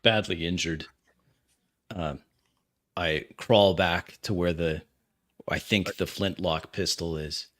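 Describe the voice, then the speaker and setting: Man, lowish pitch, forceful bright tone, tabletop player, hesitant action descriptions. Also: fairly even intonation, slow, long pauses. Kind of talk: describing his character's actions in a game.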